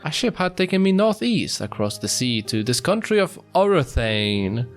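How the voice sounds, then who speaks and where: A man's voice: on the high side, very animated, moderate pace. A man reading visual novel text aloud in a casual let's-play style, breaking off for jokey, mock-apologetic asides. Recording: music behind the voice. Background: music.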